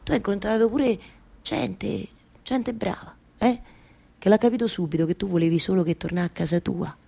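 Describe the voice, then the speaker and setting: A woman's voice: medium pitch, gravelly, dark tone, breathy edge. An actress with a Roman accent playing an impassioned, wistful wife in a theatrical monologue, repeating her phrases obsessively as she retells her husband's odyssey. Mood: confiding, agitated. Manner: obsessive repetition of phrases, halting then surging. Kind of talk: theatrical radio monologue with live music.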